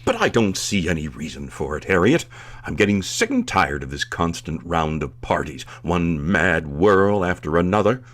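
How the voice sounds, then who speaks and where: Casting: put-upon middle-aged principal in vintage radio comedy; blustering, deep, hugely animated delivery. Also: male voice, normal speed, heavily acted. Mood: exasperated. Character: flustered, grumbling middle-aged school principal and reluctant father. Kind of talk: old-time radio comedy acting.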